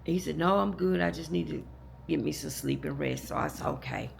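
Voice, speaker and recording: A hoarse woman, telling a long story to her viewers in a casual, rambling way, with many restarts. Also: low-pitched, a little fast, slight background noise. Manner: rambling, repeats and restarts, talks straight to "y'all". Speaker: vlogger.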